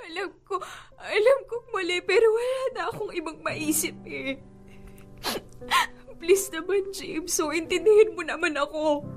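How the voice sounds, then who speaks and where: A woman's voice: very high-pitched, measured pace. A woman with a Filipino accent acting an evasive, pleading young girlfriend in a radio drama, defensive and imploring. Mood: guilty, pleading. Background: dramatic background music.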